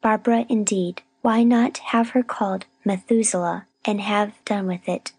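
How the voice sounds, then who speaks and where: A woman reading a storybook, playing a stern, sharp-tongued spinster with a grim, scolding manner. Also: medium pitch, steady tone, moderate pace, husky, no echo, pitched above the performer's own voice. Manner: grim, firm, scolding, sniffy.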